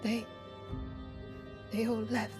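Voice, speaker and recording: An actress playing a sorrowful, weary village wife in a video game drama, speaking haltingly and mournfully. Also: female voice, on the high side, little pitch movement, over music. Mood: mournful, haunted.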